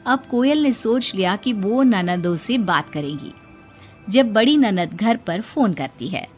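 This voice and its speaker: A woman's voice: medium pitch, lively intonation, slow, a little mellow, faint music behind. A woman reading a scripted moral story as its narrator.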